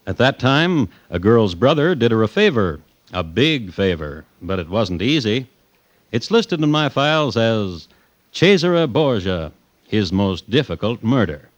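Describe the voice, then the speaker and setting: An actor playing a wry, genteel teller of historical crime cases, narrating a 1950s radio drama in a measured, droll, understated way. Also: male voice, lowish voice, very animated, no echo. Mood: deadpan. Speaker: host and narrator. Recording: dry, dull vintage radio recording.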